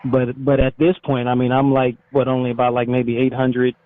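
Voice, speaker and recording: A man's voice: medium pitch, monotone, moderate pace. A middle-aged American man giving a casual, easygoing interview over the phone, speaking informally. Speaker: interviewed motorcycle YouTuber, retired military. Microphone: phone call. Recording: muffled narrowband phone line.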